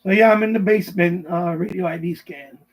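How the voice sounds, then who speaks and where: A middle-aged man with a husky voice chats casually on a live stream, rambling and repeating himself as he answers viewers. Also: high-pitched, steady tone, a little fast, poor audio. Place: basement at home.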